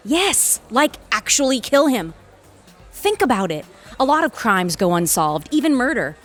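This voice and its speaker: A woman's voice: on the high side, very animated, unhurried, faint music behind. A woman playing an arrogant, calculating lawyer in an audio drama, articulate, condescending and self-assured. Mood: smug, calculating. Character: arrogant, calculating Harvard-trained female lawyer.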